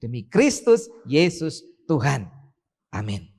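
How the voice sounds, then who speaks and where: A man's voice: mid-range voice, big pitch swings, slow pace, gravelly, darkish tone, breathy edge. A man leading an online church service, praying solemnly and then reading scripture aloud, lifting his voice on key words. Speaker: pastor leading worship. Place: church sanctuary. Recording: church hall reverb.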